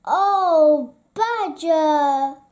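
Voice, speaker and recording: A woman's voice: sits high, drawn-out words, a little mellow. A woman voicing a panicked, panting rabbit urgently calling for help in a children's storybook.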